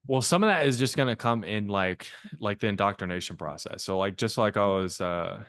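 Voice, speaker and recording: Guy sales coach on a video call, deep-ish voice, says like a lot, super dry sound. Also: brisk, fairly bright tone, no echo. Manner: casual, filler-heavy, frequent "like".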